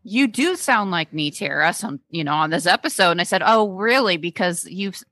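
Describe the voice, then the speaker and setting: A woman's voice: fairly low pitch, expressive, normal speed, fairly bright tone, no room sound, clean studio sound. A woman with a Texan accent co-hosting a podcast in casual chat, rambling with restarts and laughing often. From Texas, United States. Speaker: podcast co-host.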